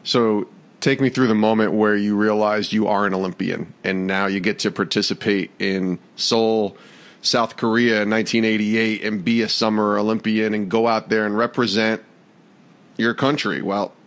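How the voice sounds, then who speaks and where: Low-voiced male podcast host. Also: flat delivery, moderate pace, fairly bright tone. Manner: conversational, curious, encouraging. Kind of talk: interview questions.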